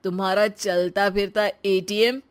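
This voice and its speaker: A woman's voice: medium pitch, fairly even intonation, unhurried, natural voice. A woman voicing a boastful, breezy young husband who spends freely, in a cartoon story. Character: showy, carefree husband, big spender, cartoon young man.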